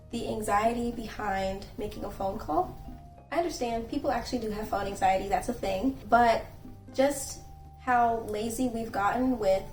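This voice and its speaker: A young woman talks through etiquette tips in an earnest, gently instructive way, her voice sitting a little high. She sounds set back from the mic in an echoey room, with faint music underneath. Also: distant mic. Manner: earnest and gently instructive, acts out sample phone calls. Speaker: channel host and etiquette creator. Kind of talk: casual etiquette advice vlog.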